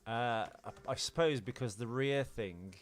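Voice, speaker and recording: A man's voice: mid-range voice, a little slow. A British man chatting casually as a podcast co-host, working through film ideas in rambling, speculative explanations.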